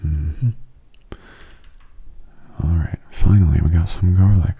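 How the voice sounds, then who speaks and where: A man giving soft-spoken ASMR commentary over a game in a hushed, breathy murmur, calm and unhurried, close to the microphone. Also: deep voice, narrow, tinny audio. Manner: calm, unhurried, musing aloud. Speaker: gaming ASMR host.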